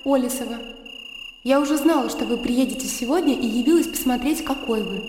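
A woman's voice: a little high, fairly quick, close to an everyday voice. An actress playing a frank, curious young country lady in a radio play. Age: young adult. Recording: echoey hall, faint music behind.

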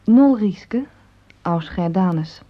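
A woman announcer reading radio drama credits in a formal, measured way. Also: fairly low pitch, expressive. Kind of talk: scripted closing credits. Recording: dull old radio broadcast recording.

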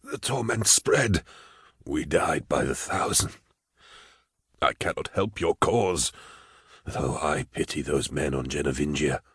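A man voicing a tormented, cold colonel shut in an asylum, with a husky, whispery, weary delivery. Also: a bass voice, fairly even intonation, normal speed, few pauses, fairly bright tone, no echo, clean studio sound, voice pushed down, heavily acted. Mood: haunted, bitter.

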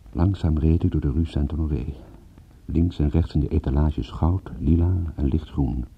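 Gravelly low-voiced world-weary private eye. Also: male voice, full character voice. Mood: brooding, wry. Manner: wry, brooding, deliberate. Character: world-weary, self-mocking male private detective. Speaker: narrator and lead in a detective radio play. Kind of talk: hard-boiled first-person radio drama narration. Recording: tinny narrow-band radio play recording.

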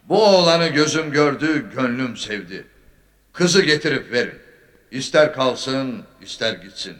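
An actor playing a commanding ruler in a dramatised folk epic, speaking emphatically. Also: male voice, mid-range voice, animated, a little slow, a bit bright. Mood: commanding, impressed. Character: commanding male lord, grudgingly impressed ruler and father.

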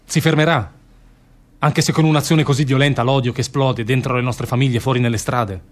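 A man's voice: mid-range voice, steady tone, a little fast, natural voice. Actor playing a troubled, brooding male prosecutor. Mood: grave, questioning. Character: troubled, doubting male public prosecutor haunted by nightmares. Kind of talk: theatrical radio drama dialogue.

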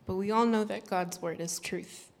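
A woman's voice: mid-range voice, steady tone, measured pace, vocal fry. A woman interpreting a sermon into English, relaying the pastor's words steadily. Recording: hall reverb.

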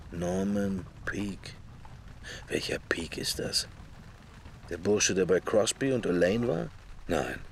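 A man's voice: lowish voice, a little hiss or hum. An actor playing a middle-aged man's drifting inner thoughts in a radio play, in murmured fragments. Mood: absorbed, musing. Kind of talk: radio play interior monologue.

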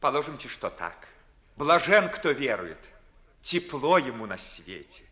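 An actor playing an ardent, witty young gentleman in a classical verse comedy for audio, declaiming theatrically and with mockery. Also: mid-range voice, very animated, measured pace. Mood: nostalgic. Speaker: stage actor.

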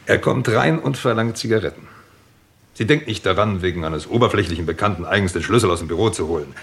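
A man's voice: low voice, animated, fast talker. A man playing a talkative detective's colleague in a radio crime drama, arguing persuasively and step by step. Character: insistent, theorizing male colleague of a detective. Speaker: actor in a crime radio play.

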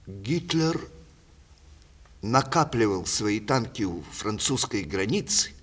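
A man playing a witty, sardonic elderly playwright, speaking with measured theatrical irony in a radio play. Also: fairly high pitch. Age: older. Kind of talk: 1960s radio theatre acting.

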